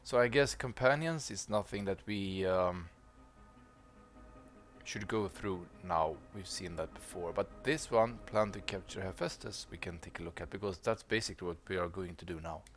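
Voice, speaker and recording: Low-voiced man commenting on gameplay. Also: moderate pace. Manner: relaxed, hesitant, with fillers. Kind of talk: casual game commentary.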